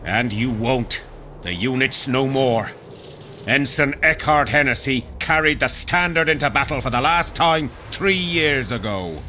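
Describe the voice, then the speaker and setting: A grim, embittered war veteran, noisy background. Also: male voice, mid-range voice, unhurried, pushed and bright. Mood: bitter. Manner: grim, coarse-tongued storytelling. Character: embittered veteran soldier, gruff survivor of a lost regiment. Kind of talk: dramatic video game acting.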